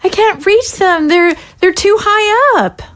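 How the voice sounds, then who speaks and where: A woman's voice: very high-pitched, lively intonation, takes it slowly, exaggerated voice. A woman playing a worried, faltering young girl in an audio drama. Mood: anxious. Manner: hesitant, faltering. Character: hesitant, worried young girl guarding zombie prisoners.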